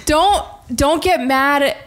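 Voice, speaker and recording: A woman's voice: sits high, takes it slowly. A young woman co-hosting a casual podcast who laughs easily and backs up her co-host. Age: young adult.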